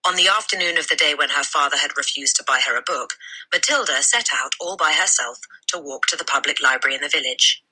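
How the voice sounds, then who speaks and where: A woman reading a children's novel aloud as an expressive narrator, leaning on key words with deliberate emphasis. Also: sits low, breathy edge, low-quality recording. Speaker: storybook reader.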